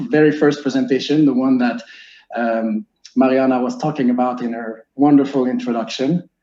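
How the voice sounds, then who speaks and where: A man's voice: mid-range voice, mellow. A man in his forties from Quebec with a French-Canadian accent, French being his first language, presenting an online seminar earnestly with many fillers. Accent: Québécois French. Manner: earnest, explanatory, with frequent fillers and restarts. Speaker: teacher giving a seminar. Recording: echoey room, muffled lo-fi sound.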